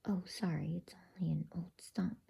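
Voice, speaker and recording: Kindly creature rescuers from a storybook, whispered by a woman reader, chatty and plain-spoken. The voice is very breathy and mellow, kept to a hush. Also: lowish voice, fairly even intonation, moderate pace, heavily acted. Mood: flustered.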